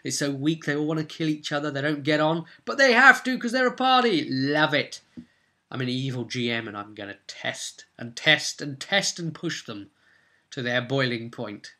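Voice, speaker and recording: A man casually recapping his tabletop game as game master, rambling and breaking off into animated asides to viewers. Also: on the high side, normal speed. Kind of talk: casual video recap, storytelling.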